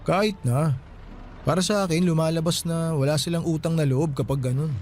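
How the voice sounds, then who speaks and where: A man's voice: a little high, a little fast, darkish tone, faint music behind, close to an everyday voice. An actor playing a respectful, sympathetic younger man in a radio drama, talking politely and earnestly. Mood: mildly indignant.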